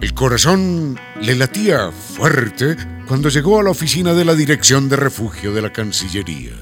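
A man narrating a radio drama in a scripted, storytelling style. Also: mid-range voice. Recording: music bed with guitar.

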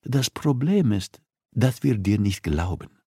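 A man's voice: on the low side, animated, takes it slowly, mellow, breathy edge, no room sound, studio quality, exaggerated voice. A man narrating an audiobook, voicing a coolly persuasive, threatening female criminal who interrogates with insistence and condescension. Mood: probing, smug.

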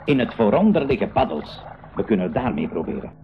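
Excitable professor with a swooping voice. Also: male voice, lowish voice, fast talker. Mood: concerned, busy. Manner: theatrical, exclaiming. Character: excitable professor uncle, proud inventor of a flying machine. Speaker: radio play actor. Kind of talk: 1980s Dutch-language school radio drama acting. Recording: dull, noisy old radio recording.

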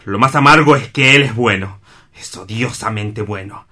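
Hermano amargado y atormentado. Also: male voice, fairly low pitch, moderate pace, sharp tone. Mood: tormented, impassioned. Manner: impassioned, reproachful, self-pitying. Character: bitter, anguished younger man, envious overlooked brother. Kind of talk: radio theatre acting.

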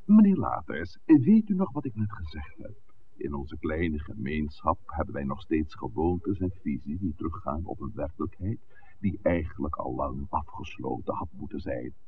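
An erudite, wry village priest, with a very low voice that moves expressively up and down. He lectures in measured, articulate phrases, on a muffled old radio drama recording. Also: sing-song, a little hiss or hum, full character voice. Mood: earnest, explanatory. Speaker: actor in a radio play. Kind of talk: 1960s Dutch radio drama acting.

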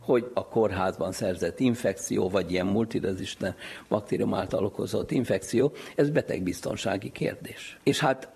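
Husky, low-voiced man. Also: a little mellow. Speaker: infectious disease specialist being interviewed. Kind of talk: expert interview, explanatory.

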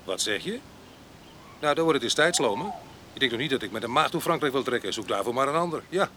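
Rough, taunting vagabond man, noisy recording. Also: medium pitch, animated, fast talker, pushed and bright. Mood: goading. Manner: mocking, blustering, coarse. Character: rough, wild, taunting man with a past, vagabond grape picker. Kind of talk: radio drama acting. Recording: noisy 1980s radio drama with outdoor ambience.

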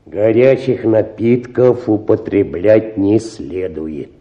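An actor playing a self-important rural medical orderly in a radio play, questioning and prescribing. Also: male voice, fairly low pitch, expressive, slow, echoey room, muffled recording. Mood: pompous.

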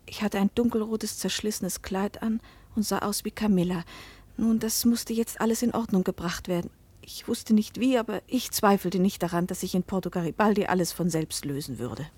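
Breathy, troubled woman, played by an actress in a radio play. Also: medium pitch, a little mellow, no room sound, close to an everyday voice. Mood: reflective. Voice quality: breathy, often dropping to a whisper. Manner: introspective, measured literary delivery. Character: troubled woman mistaken for another, guarded and dreamy. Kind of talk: German radio play narration and dialogue.

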